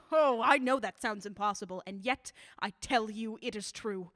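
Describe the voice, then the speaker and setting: A woman acting in a radio drama as a bitter old scientist who invents deadly substances, with deliberate, formal, theatrical delivery. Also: mid-range voice, fairly bright tone, no echo, natural voice. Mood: grimly proud.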